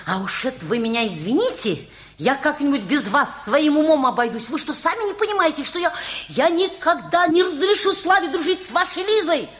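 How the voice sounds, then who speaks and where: Very high-voiced indignant mother. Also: expressive, a little fast, a bit bright. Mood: outraged, cutting. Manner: scolding, emphatic, agitated. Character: indignant, overprotective, haughty mother of a student son. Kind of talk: Russian radio play acting.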